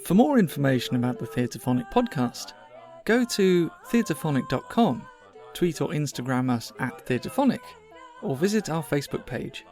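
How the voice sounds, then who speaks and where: A man with a fairly high voice reads scripted podcast credits. His intonation is very lively, with music underneath. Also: moderate pace. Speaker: podcast announcer.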